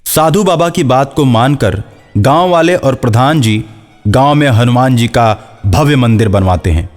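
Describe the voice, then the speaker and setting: A man's voice: mid-range voice, expressive, unhurried. A man narrating a scripted horror folk story as a storyteller. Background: faint music.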